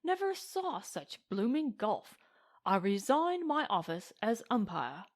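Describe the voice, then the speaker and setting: Woman acting an excitable, high-pitched English gentleman. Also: a little slow, exaggerated voice. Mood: flustered indignation. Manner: exclamatory, proper British slang. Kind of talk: storybook character voice in narration.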